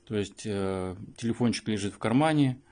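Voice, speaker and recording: A man's voice: on the low side, moderate pace, a little mellow. A man giving an informal product review and tutorial, explaining things in a matter-of-fact way. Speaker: phone reviewer.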